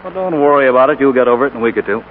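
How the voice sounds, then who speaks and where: Male actor as glib, confident buddy, rapid patter, 1940s radio drama, tinny sound. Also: medium pitch, expressive, lightly acted. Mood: breezy. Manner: glib, bossy, familiar. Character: brisk, cocksure, breezy ad-man buddy. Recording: tinny, faintly noisy old radio recording.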